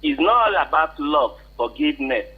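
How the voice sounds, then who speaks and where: A man's voice: on the high side, big pitch swings, normal speed, breathy edge. A man with a Nigerian accent giving unscripted advice as a radio caller, insistent and questioning, repeating points for emphasis. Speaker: call-in contributor. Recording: muffled, tinny phone line.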